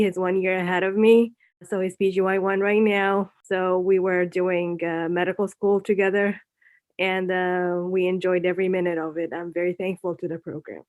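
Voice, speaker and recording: A woman from Egypt speaks on a panel, pausing with fillers as she goes. The sound is dry and close. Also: medium pitch, darkish tone, dry recording. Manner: hesitant, with fillers. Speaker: medical student. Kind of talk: panel interview.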